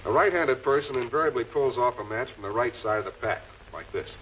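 A man's voice: mid-range voice, animated, slight background noise, close to an everyday voice. Muffled old-film prosecutor, composed and commanding, played by a man. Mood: reasoning. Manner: measured, commanding, deliberate. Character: composed, authoritative male public prosecutor cornering murder suspects. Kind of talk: 1940s television crime drama acting.

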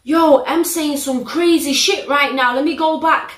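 A young woman with a British accent, hosting a reaction video in a living room, telling stories in an animated, slangy, exclamatory way. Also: high voice, unhurried. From United Kingdom. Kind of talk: casual reaction vlog. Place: living room, sitting on a sofa. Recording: roomy living-room echo.

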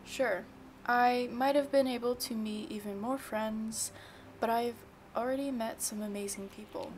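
A young woman with a slightly high voice reads a calm, reflective voice-over for her vlog. She speaks softly and evenly, as if reading from a script. Gentle music plays clearly under her narration. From Virginia. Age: young adult. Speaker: vlogger. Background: soft background music.

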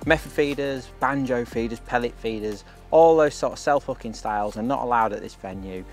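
A man with an English accent giving explanatory highlights commentary on a fishing match. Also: a little fast, faint music behind.

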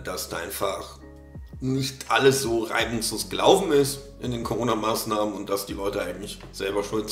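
A man's voice: on the low side, gravelly, fairly bright tone, echoey room. A man in his thirties with a slight cold, commenting on a video casually, with many fillers and restarts. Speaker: reaction video host. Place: small room at a desk.

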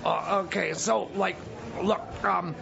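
Bumbling, scheming corrections officer for radio comedy , acted by a man: high-pitched, very creaky, halting and repetitive. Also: steady tone, muffled recording, crowd noise, heavily acted. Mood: coaxing. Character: pushy, bumbling male prison officer, scheming. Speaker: radio skit actor. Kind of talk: improvised comedy radio theater.

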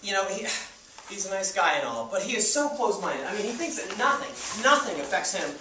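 A man's voice: high voice, big pitch swings, fast. A young man on stage playing an idealistic, pushy would-be farmer in slangy, rambling speech. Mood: earnest. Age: young adult. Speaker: stage actor. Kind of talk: live stage comedy-drama acting. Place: indoor theatre stage. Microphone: distant audience camera. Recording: echoey theatre stage from far off, noisy.

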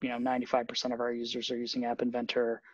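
A man, a software developer, explaining technical updates at a team meeting on a video call, thoughtful and full of fillers. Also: mid-range voice, monotone, rapid-fire, no room sound. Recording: dull lo-fi video call audio.

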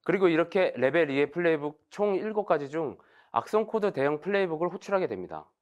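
A man in his thirties giving a formal, scripted technical webinar presentation in a measured, explanatory way. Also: on the high side, big pitch swings, lo-fi sound.

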